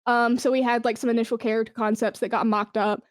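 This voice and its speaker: A woman's voice: a little high, level tone, quick pace, no room sound. A woman chatting casually as an interview guest, enthusiastic and informal in the way she talks. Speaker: interview guest, esports brand manager.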